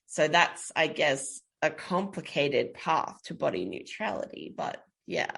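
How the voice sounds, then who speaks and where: Podcast guest, a woman with a deep voice, earnest and reflective, explains at length. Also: very animated, unhurried, vocal fry, fairly bright tone. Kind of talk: casual podcast chat.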